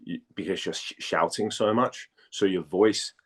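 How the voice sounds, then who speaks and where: A man from Denmark chatting casually as an interview guest, speaking haltingly and repeating words as he thinks. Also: low-pitched, slightly rough.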